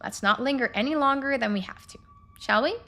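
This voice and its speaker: A woman's voice: high-pitched, fairly quick, sharp tone. A woman voicing a stern, irritable young mine owner in a curt, exasperated, bossy manner for a video game. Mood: brisk.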